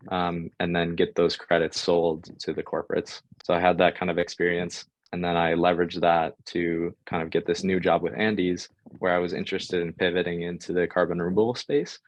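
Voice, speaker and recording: Very deep-voiced American man, flat delivery. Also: no echo, muffled recording. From United States. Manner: hesitant, filler-heavy delivery. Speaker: guest sharing job-search advice. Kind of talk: informal panel answer.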